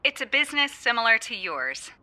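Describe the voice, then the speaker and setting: Bright, sharp female telephone receptionist, acted by a woman. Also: on the high side, very animated, fairly quick, exaggerated voice. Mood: polite, insistent. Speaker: telephone operator. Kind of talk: audio drama dialogue.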